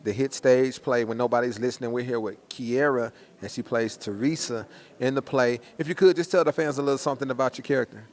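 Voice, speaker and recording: A man's voice: medium pitch, fairly quick. A man interviewing guests in a casual hype style, full of slang and frequent "man" and "yo" as he promotes a stage play.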